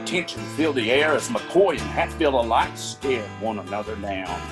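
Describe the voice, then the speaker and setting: A man narrating a radio drama in a grandiose storytelling manner with dramatic pauses. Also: mid-range voice, music in the background.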